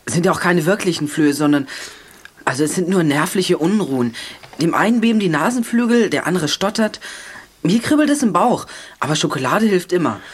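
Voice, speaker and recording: A man's voice: sits high, expressive, fairly quick, breathy edge. A male actor in a German audio drama playing a nervous, chatty schoolboy with a sweet tooth. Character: chocolate-loving, nervous, chatty chubby schoolboy.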